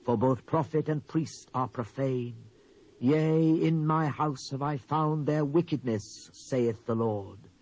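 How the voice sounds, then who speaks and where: A British actor playing a stern, wrathful Hebrew prophet in a dramatised scripture reading, solemn and declamatory, swelling and dropping for emphasis. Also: male voice, medium pitch, takes it slowly, a little mellow, close to an everyday voice. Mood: grieving, solemn. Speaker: Bible narrator. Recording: dull lo-fi cassette transfer.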